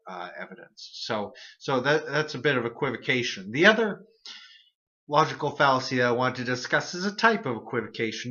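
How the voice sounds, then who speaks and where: A man's voice: on the low side, sharp tone, dull audio. A man hosting his own video series, explaining reasoning errors in a casual, earnest monologue with frequent restarts and repeated words. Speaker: host of an atheist video series.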